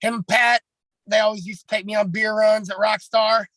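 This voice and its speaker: A man with a raspy voice, a pro wrestler talking bluntly and at length in a casual podcast chat, heavy on fillers. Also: high voice, level tone, moderate pace, a bit bright, no room sound.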